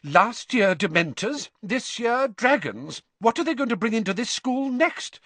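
A man's voice: medium pitch, very animated, brisk, fairly bright tone, slightly rough, dry recording. A man voicing a fussy, scolding older female school nurse who talks furiously as she works. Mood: indignant. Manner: scolding, fussing.

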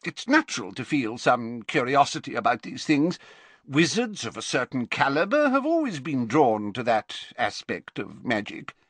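A man voicing a flustered, uneasy older wizard professor in an audiobook, gruff, faltering and emphatic. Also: mid-range voice, sing-song, moderate pace, fairly bright tone, no echo, pitched above the performer's own voice. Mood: offended, gruff. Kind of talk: audiobook character voice.

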